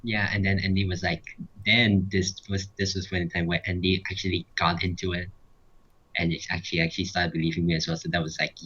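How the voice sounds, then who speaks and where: A man telling a casual anecdote in a halting, repetitive, rambling way. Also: low-pitched, little pitch movement, bright, forceful tone, slightly rough, highs cut off. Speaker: guest storyteller. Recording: noisy home mic, clicking and typing. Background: clicking, keyboard typing, background noise.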